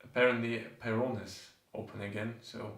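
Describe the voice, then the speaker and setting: Man, twenties, Turkish accent. Reflective documentary interviewee, hesitant phrasing, middling-to-low pitch. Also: level tone, moderate pace, fairly bright tone, roomy sound. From southern Turkey. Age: 20s. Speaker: student, documentary subject.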